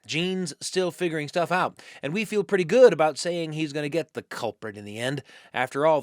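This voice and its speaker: A man's voice: a little high, dry recording, studio quality. An American man hosting a radio show, presenting scripted links in a theatrical, folksy storytelling manner.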